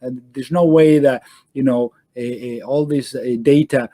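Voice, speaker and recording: Halting man, mellow dry voice. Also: medium pitch, fairly even intonation, no room sound. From Venezuela. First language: Spanish. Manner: halting, many fillers and restarts. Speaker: guest surgeon. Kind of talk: casual interview answers.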